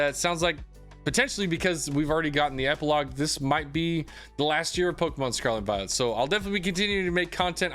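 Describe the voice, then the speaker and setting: Fast-talking, slightly hoarse young man. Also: fairly high pitch, a bit bright. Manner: upbeat, chatty, many "um" and "like" fillers. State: slightly hoarse, losing his voice. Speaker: gaming YouTuber. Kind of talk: casual video game guide talk.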